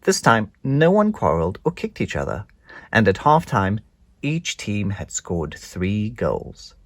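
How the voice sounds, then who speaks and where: A storybook narrator reading aloud for young children in an expressive, scripted storytelling style. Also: low-pitched, a little slow, dry recording.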